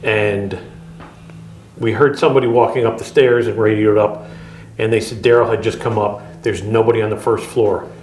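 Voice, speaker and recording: A man's voice: lowish voice, steady tone, normal speed, a little mellow, slight background noise. A man, a paranormal investigator, earnestly recounting strange experiences in an interview. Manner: earnest, matter-of-fact storytelling. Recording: echoey room.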